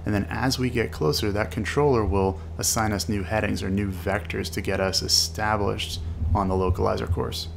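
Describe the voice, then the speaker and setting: A man's voice: lowish voice, vocal fry. A man narrating an explanatory flight tutorial as an instructor, walking through each step. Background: small-plane cockpit drone from a flight simulator.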